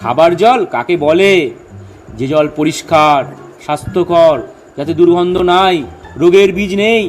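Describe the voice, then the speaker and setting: An actor playing a pedantic, excitable amateur scientist who lectures insistently in a comedy audio drama. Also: male voice, sits high, moderate pace, lightly acted. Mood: lecturing. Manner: didactic, animated, insistent. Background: background music.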